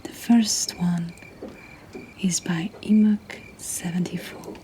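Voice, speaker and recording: Slow whispering woman reading poetry. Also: on the low side, fairly even intonation. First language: not English. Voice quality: breathy, whispered. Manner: slow, gentle, deliberate delivery. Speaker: host reading submitted poetry. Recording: nighttime cricket ambience behind, faint noise. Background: crickets and insect ambience.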